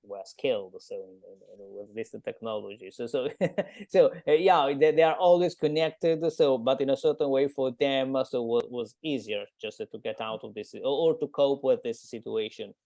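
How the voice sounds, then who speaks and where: A man with an Italian accent speaking on a video call in a gravelly, middle-pitched voice. He talks haltingly, stacking up repeated words before each point. The sound is dry and close. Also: dark tone, no room sound. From Italy. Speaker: participant. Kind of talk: group discussion on a video call.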